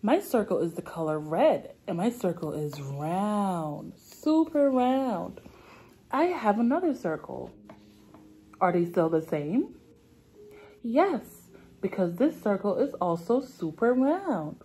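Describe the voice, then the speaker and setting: Woman, young adult, preschool read-aloud, unhurried, slightly husky, simple repetitive phrasing. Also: medium pitch, big pitch swings, mellow. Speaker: preschool teacher. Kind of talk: children's read-aloud lesson. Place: indoor classroom.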